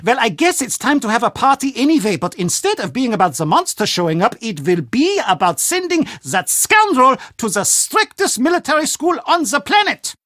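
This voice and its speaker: Fussy, excitable castle official, video game role. Theatrical, very animated delivery, dry close sound. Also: male voice, very high-pitched, normal speed, few pauses, dry recording, heavily acted. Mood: relieved, giddy. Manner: theatrical, self-talking, exclamatory. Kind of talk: video game character acting.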